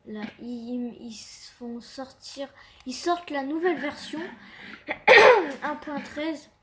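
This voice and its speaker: A young woman with a stuffy cold chats her way through a Minecraft session in a high, slow voice. She hesitates, restarts and fills every gap with fillers. A faint hiss sits under the recording. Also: animated. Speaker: gaming channel host. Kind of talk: casual Minecraft commentary.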